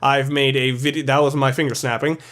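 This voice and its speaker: A man's voice: mid-range voice, flat delivery, normal speed, a bit bright. A man narrating an opinionated video essay as its host, in an informal way with some swearing.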